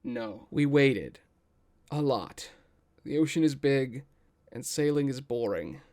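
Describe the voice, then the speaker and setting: A man acting a gloomy, sulky young sailor in an audio drama, downbeat and deadpan. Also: mid-range voice, takes it slowly.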